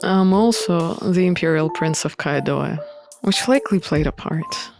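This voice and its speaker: A woman's voice: fairly low pitch, unhurried, vocal fry, voice pushed down. A woman voicing a guarded, offhand exiled prince in a visual novel, speaking in a dry, blunt manner. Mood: dry, matter-of-fact. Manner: offhand, dry, matter-of-fact. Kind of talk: visual novel character reading. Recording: music under the voice.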